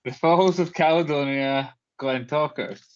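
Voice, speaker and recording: A man's voice: fairly high pitch, a little slow. Wry, dry-humoured man. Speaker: podcast co-host. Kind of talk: casual chat. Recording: slightly dull lo-fi sound.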